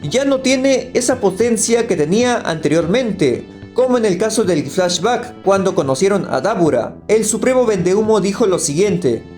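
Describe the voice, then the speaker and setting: Guy reviewing stuff, high voice, scripted critique, faint music behind. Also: expressive, normal speed, few pauses, darkish tone. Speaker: video critic.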